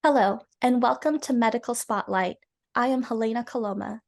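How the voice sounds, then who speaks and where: A woman host introducing a guest and asking polite, measured questions. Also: a little high, creaky, over loud music. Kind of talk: scripted interview host.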